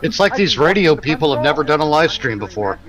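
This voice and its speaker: Man, fairly high pitch, lively swings, sarcastic and bawdy, casual co-host banter, busy voices in background. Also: fairly quick, crowd noise, low-quality recording. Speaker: live stream co-host. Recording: voices and radio show talking behind.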